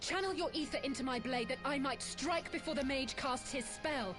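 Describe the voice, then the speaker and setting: An actress playing a noble, resolute warrior woman in a video game, with formal, measured, commanding speech. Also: high voice, over music, close to an everyday voice. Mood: urgent resolve.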